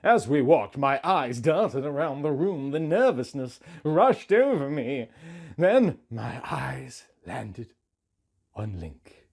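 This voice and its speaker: A man in his thirties reading a story aloud in a melodramatic, mock-sentimental comic style, swinging between hushed and loud. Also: medium pitch, sing-song, slow pace, creaky, breathy edge. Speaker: comic host reading out fan fiction.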